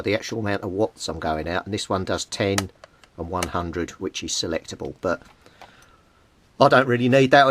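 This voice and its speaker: British man explaining, with noisy background. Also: fairly low pitch. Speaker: CB radio hobbyist presenting a tutorial. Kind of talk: informal hands-on demonstration. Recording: clearly noisy amateur home recording.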